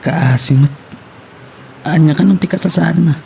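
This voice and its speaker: Mellow-voiced polite male employee played by a man. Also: a little high, normal speed, gravelly, a little hiss or hum. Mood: evasive. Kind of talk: Ilocano radio drama acting.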